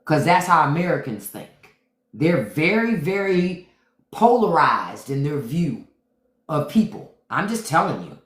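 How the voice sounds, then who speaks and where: A woman's voice: deep voice, big pitch swings, measured pace, echoey room. A woman hosting a casual livestream chat, emphatic, repeating her points and reading viewer comments aloud. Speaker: podcast host. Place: home room on a livestream.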